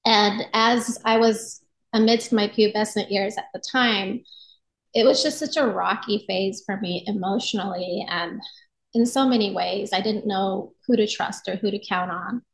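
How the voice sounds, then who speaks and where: A woman's voice: medium pitch, a little slow, vocal fry. A middle-aged woman with an American accent, telling her personal story in an earnest, articulate, reflective way as an interview guest. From Japan. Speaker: yoga and meditation instructor, podcast guest. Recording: roomy echo on voice.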